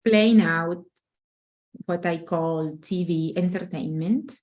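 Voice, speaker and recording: A woman's voice: on the low side, slow pace, a little mellow. A middle-aged woman from Argentina, speaking earnestly and emphatically as a relationship coach in a casual interview, often restarting her phrases.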